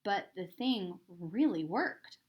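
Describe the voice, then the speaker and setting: A woman reading a rhyming children's book aloud in a theatrical, expressive way, stressing the rhythm and drawing out words. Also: medium pitch, slow. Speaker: storybook reader.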